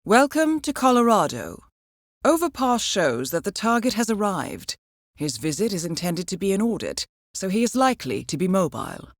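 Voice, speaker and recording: An actress playing a composed intelligence handler who briefs an assassin in a measured, formal, understated way for a video game. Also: female voice, medium pitch, very animated, no room sound, studio quality, natural voice. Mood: composed, businesslike. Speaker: mission handler. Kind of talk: scripted video game voice acting, mission briefing.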